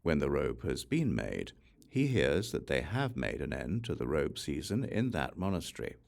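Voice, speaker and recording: Male audiobook reader for scripture: low, creaky voice, varied intonation, deliberate scripted delivery. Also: very animated, a little mellow. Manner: measured, deliberate reading. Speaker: audiobook narrator. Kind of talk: scripted narration of a religious text.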